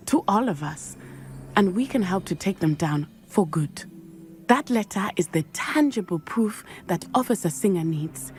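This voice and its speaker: A woman voicing a brave, earnest teenage schoolgirl in a video game, with measured, formal wording. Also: medium pitch, darkish tone, a little hiss or hum, natural voice. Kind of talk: video game cutscene acting.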